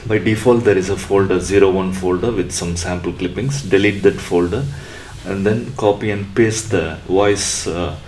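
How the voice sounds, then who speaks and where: A man presenting an electronics tutorial step by step in a halting, instructional way. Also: low voice, steady tone, darkish tone, slight background noise.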